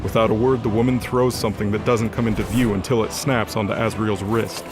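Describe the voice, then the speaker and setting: A man's voice: on the low side, fairly even intonation, brisk. A man narrating an audio drama in a dramatic scripted style, with music behind him.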